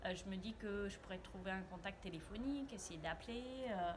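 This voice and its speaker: A woman's voice: mid-range voice, fairly quick. Earnest French woman, hesitant, noisy background. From France. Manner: hesitant, many filler sounds, earnest. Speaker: interviewee, private French tutor. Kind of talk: casual interview answers.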